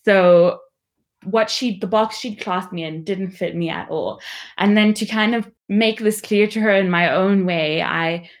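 A young woman giving a reflective personal account in a thoughtful, measured and candid way. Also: mid-range voice.

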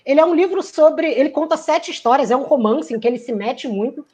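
A woman's voice: high-pitched, lively intonation, brisk, mellow. A woman who works in theater giving a reflective talk, musing aloud, searching for words and acting out quoted lines.

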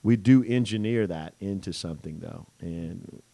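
A man's voice: low voice, moderate pace, creaky, warm tone, dry recording. A man hosting a business radio talk show, chatting loosely with many fillers and restarts. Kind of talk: casual interview chat.

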